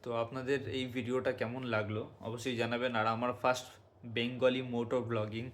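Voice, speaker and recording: A man giving a casual hands-on tutorial, explaining step by step and speaking directly to viewers. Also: mid-range voice. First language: Bengali. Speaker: motovlogger and product reviewer.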